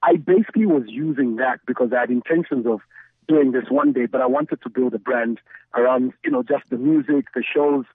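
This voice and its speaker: A thin, phone-like connection carries a middle-aged man. His voice is a little husky and dry of room sound, and he talks like an interview guest recounting his own story. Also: mid-range voice, a little fast, dry recording. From Zambia, the Copperbelt. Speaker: guest, radio DJ and station founder. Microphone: remote call connection.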